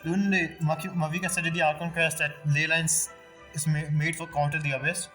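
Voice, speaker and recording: A woman comments casually as she plays a game. Her voice is bright, her phrasing non-native and musing, with soft game music behind. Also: sits low, little pitch movement, a little fast. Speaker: game streamer.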